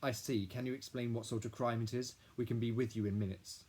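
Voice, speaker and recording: A man voicing a calm, patient police phone operator. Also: mid-range voice, brisk, lightly acted.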